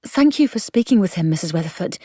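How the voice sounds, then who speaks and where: A woman's voice: mid-range voice, fairly quick, mellow, dry recording, clean studio sound, voice pushed up, natural voice. A woman voicing a polite, earnest, slightly nervous young woman.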